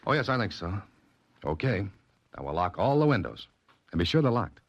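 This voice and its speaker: An actor playing a wry, brisk private detective in 1940s radio drama acting style. He cracks dry jokes and gives clipped orders. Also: fairly low pitch, big pitch swings, no echo, lo-fi sound. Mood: instructive.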